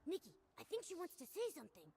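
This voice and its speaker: A woman voicing a tiny, excitable talking cat companion in an anime-style game, with a squeaky voice. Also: quick pace, creaky, full character voice. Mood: curious.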